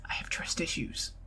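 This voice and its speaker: A middle-aged woman with a Southern US accent, speaking softly and partly in whispers in an ASMR date roleplay. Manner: intimate, soft-spoken, giggly, partly whispered.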